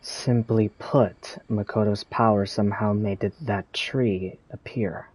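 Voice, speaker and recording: A man playing a calm, thoughtful young traveler in a video game, speaking quietly and deliberately. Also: lowish voice, slightly rough, low-quality recording. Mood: explaining. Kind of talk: video game character acting.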